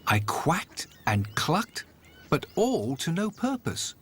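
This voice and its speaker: A man's voice: on the high side, huge pitch swings, slow pace, slightly rough, voice pushed up, exaggerated voice. Man doing a bossy old lady duck, swooping. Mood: opinionated, bossy. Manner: opinionated, advising. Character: bossy, know-it-all old female duck. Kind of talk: storybook character voice.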